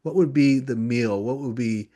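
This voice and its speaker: A man hosts an interview show, steering with questions and quick friendly responses. His voice sits in an ordinary middle range. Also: mid-range voice, mellow. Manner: friendly, asks questions, short reactions. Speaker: podcast host. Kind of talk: interview hosting.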